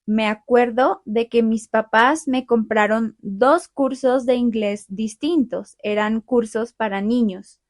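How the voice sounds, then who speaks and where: A young adult woman from Bogotá with a Colombian accent, hosting a friendly podcast for language learners in a calm, clear, unhurried storytelling way. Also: fairly high pitch, no room sound, studio quality. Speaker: podcast host.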